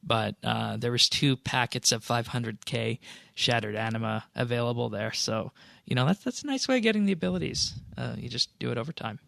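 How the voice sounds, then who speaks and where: A man's voice: mid-range voice, animated, brisk, vocal fry, a bit bright, dry recording. A man hosting a podcast, casually going through game patch notes in an explanatory, conversational way. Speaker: podcast host.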